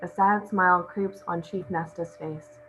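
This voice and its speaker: A woman's voice: on the low side, steady tone, measured pace, fairly bright tone. A woman reading stage directions aloud as scripted narration at a table read. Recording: muffled, noisy lo-fi recording.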